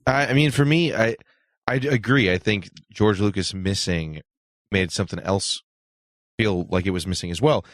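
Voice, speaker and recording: A man's voice: lowish voice, moderate pace, no echo, clean studio sound. A man chatting casually as co-host of a podcast. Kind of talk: casual chat.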